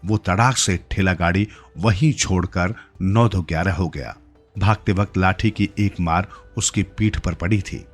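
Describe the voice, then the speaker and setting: Low-pitched male storyteller over music. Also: measured pace. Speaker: story narrator. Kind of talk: scripted literary story narration in Hindi.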